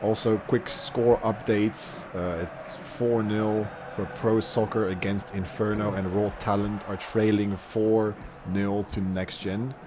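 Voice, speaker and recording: A man's voice: fairly low pitch, little pitch movement, slow, hardly any pauses, noisy background. Young man calling a game, lo-fi. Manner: chatty, rises sharply on goals and saves, fillers and restarts. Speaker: esports match commentator. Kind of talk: live play-by-play commentary.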